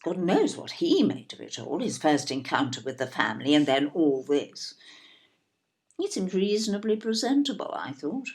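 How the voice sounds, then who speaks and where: An older, upper-middle-class English wife in a radio play. Her voice is low and creaky, swooping widely as she hands down haughty, plummy judgements. She sounds opinionated and disapproving. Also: big pitch swings, moderate pace, a little mellow. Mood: flustered, chatty. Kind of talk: audio play acting.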